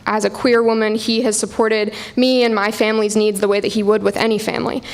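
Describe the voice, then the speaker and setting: Even-toned American woman giving public testimony. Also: medium pitch, fairly quick. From Portland, Oregon, United States. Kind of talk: prepared public testimony to officials. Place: public hearing in a university hall.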